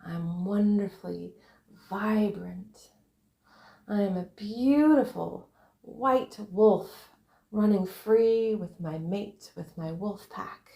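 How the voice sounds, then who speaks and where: A woman narrating a guided visualization in a meditative way, speaking in short, separated, breathy phrases. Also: lowish voice, warm tone, reverberant.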